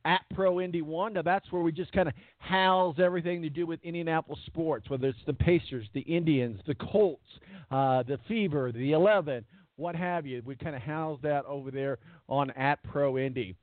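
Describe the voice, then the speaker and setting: A man hosting a sports radio show in casual chat, rambling with fillers and repeated words, with a voice that is going hoarse. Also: on the high side, moderate pace, dry recording. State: losing his voice. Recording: tinny phone-line quality, dry.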